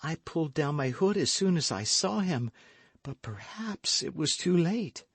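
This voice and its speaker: A man's voice: a little high, sing-song, measured pace, slightly rough, no room sound, pitched above the performer's own voice, heavily acted. A man voicing a frightened, lovestruck young maidservant in an audiobook, with a pleading, devoted manner. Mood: anxious. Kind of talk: audiobook character acting.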